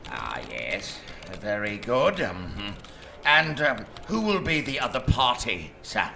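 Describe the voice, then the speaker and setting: A man playing a gnarled, creepy desk clerk in a live radio comedy-horror, halting and obsequious. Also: lowish voice, sing-song, gravelly, bright, forceful tone, exaggerated voice. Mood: halting, apologetic. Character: gnarled, creepy, slow-witted male hotel desk clerk. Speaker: actor. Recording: live stage recording, background noise.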